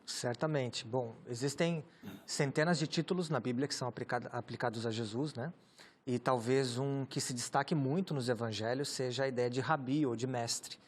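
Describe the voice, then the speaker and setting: Brazilian pastor explaining scripture calmly. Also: male voice, mid-range voice. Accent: Brazilian Portuguese. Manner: measured, explanatory, with many rhetorical tags. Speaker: pastor and guest teacher. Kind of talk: explanatory Bible teaching in conversation.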